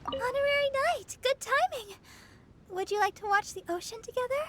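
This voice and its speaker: A woman's voice: very high voice, animated, normal speed, fairly bright tone. A woman voicing a sweet, bashful young deaconess in a video game, caring and earnest. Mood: cheerful, tender. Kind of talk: scripted video game character dialogue. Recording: music behind the voice.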